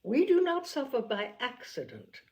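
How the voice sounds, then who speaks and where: A woman voicing a witty, lively young woman in an audiobook, speaking with an ironic edge. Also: fairly high pitch, moderate pace, pitched above the performer's own voice. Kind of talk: audiobook character voice.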